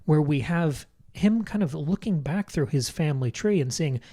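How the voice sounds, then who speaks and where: Male podcast co-host, mellow tone, articulate and explanatory, breaking down a book. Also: on the high side, lively intonation, normal speed. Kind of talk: analytical book discussion.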